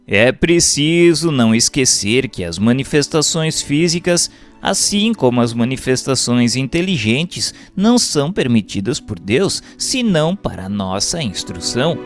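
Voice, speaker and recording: A man narrates a book in a formal, didactic way. His delivery is measured and scripted, at an average male pitch, with music playing behind him. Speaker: audiobook narrator. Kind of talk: scripted narration, reading a religious book aloud.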